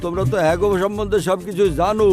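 A man's voice: high voice, fairly even intonation, rapid-fire, music in the background, close to an everyday voice. A man voicing a sly, mock-polite gang boss in a cartoon dub, speaking with a Barishal Bengali accent. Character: sly male gang boss, smooth and scheming.

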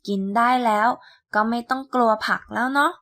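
A woman playing a caring, gently firm mother duck in a children's storybook, coaxing and motherly in manner. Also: a little high, steady tone, fairly quick, no echo, clean studio sound. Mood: praising.

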